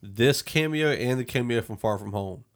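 Male podcast host, mid-pitched voice, casual and opinionated review chat. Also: normal speed.

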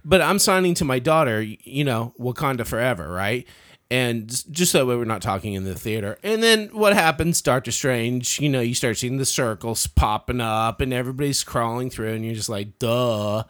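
A man's voice: medium pitch, expressive, moderate pace, a bit bright. A man giving a casual, opinionated film review as a podcaster, often stammering and repeating words as he starts.

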